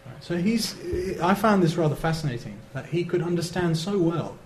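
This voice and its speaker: Man, academic lecturer, measured and analytical, roomy hall sound, faint hiss. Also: fairly high pitch, lively intonation, darkish tone. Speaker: lecturer on Iran.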